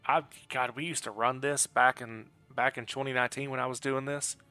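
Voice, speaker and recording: A man's voice: mid-range voice, steady tone, fairly quick, pushed and bright, soft background music. A man giving casual live game commentary, explaining things in a rambling, easygoing way. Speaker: game streamer.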